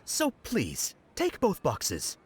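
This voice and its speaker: An actor voicing a jolly festival knight in a video game, given to theatrical proclamations and friendly patter for children. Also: male voice, high-pitched, huge pitch swings, drawn-out words, very noisy, heavily acted. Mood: playful.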